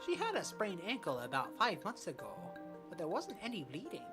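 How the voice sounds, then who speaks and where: A performer voicing a blunt, teasing old male gym coach in a high, bouncy put-on voice in a fan dub. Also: fast, over music, close to an everyday voice. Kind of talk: amateur fan dub of a medical drama.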